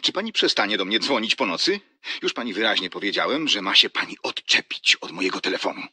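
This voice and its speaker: An actor playing an irate, fed-up man on the phone in an audio drama, gruff and scolding. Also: on the low side, big pitch swings, fast talker, bright, forceful tone, no room sound, full character voice. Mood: furious, indignant. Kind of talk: audiobook radio drama acting.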